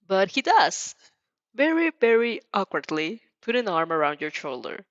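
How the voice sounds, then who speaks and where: A woman reading fan fiction aloud in an expressive amateur style, giggly and stumbling over the text. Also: mid-range voice, moderate pace, no echo, highs cut off.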